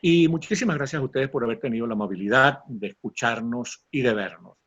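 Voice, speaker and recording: A man with a husky voice, hosting a conversational interview with courteous, formal phrasing. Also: medium pitch, a little fast, fairly bright tone. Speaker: interview host. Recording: slightly dull, lo-fi sound.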